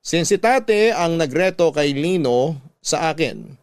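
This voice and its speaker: Lalaking tagapagsalaysay, tuyo ang tunog. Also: male voice, fairly high pitch, expressive, unhurried, clear, resonant tone, no room sound. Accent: Filipino. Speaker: podcast narrator reading a listener's letter. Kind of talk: scripted radio-style storytelling.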